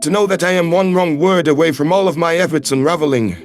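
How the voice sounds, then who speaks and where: A man's voice: on the high side, fast talker, soft background music, voice pushed down, close to an everyday voice. A man voicing an earnest, lovestruck human ambassador in a sci-fi audio drama, speaking in a heartfelt, pleading way. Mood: weary, frustrated. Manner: pleading, heartfelt, occasional swearing.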